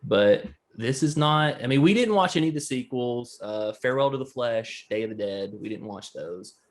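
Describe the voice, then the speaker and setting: A young man with a low voice, co-hosting a casual movie podcast and talking bluntly with a rambling, joking manner. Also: expressive. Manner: rambling, blunt, quick to joke. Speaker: podcast co-host.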